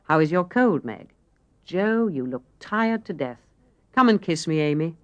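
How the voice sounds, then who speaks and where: A woman's voice: sits low, expressive, measured pace, highs cut off. A woman voicing a cheery, affectionate middle-aged mother in an audiobook.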